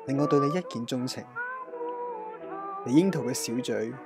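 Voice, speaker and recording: A man's voice: mid-range voice, normal speed, lightly acted. A man with a Hong Kong accent playing a melodramatic, boastful, lovestruck gang boss in a comic radio drama. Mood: lovestruck, solemn. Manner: melodramatic, swaggering. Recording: music under the voice.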